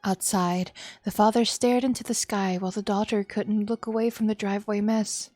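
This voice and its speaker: A woman gives a dramatic, expressive narration of a visual novel as a streamer. Her voice is mid-pitched and recorded close and dry, with music playing softly behind it. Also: moderate pace, no room sound.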